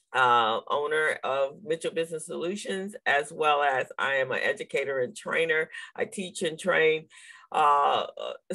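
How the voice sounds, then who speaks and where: A woman co-hosting a live community briefing over a video call, with a hoarse, gravelly voice from overwork and an animated manner that breaks into laughter between remarks. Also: low-pitched. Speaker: co-host.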